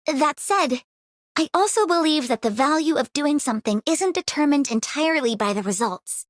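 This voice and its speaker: A confident pro type game character, a bit high, very dry sound. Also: female voice, animated, normal speed, dry recording. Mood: assured. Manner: articulate, assured. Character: confident, pragmatic professional woman with convictions. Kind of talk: video game character voice lines.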